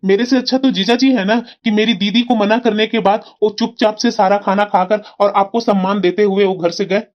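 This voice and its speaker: A woman's voice: medium pitch, fairly even intonation, a little fast, natural voice. Muffled, earnest young husband, acted by a woman. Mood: regretful. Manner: earnest, confessional. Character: earnest, repentant grown son and young husband. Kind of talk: cartoon family drama dialogue.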